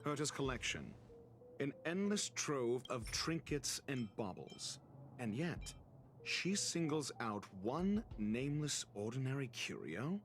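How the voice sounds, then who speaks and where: A man's voice: medium pitch, sing-song, takes it slowly, a little hiss or hum. An actor playing an arrogant, impatient male scholar in a video game, questioning with condescension and sarcasm. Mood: measured, doubtful. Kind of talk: scripted video game voice acting.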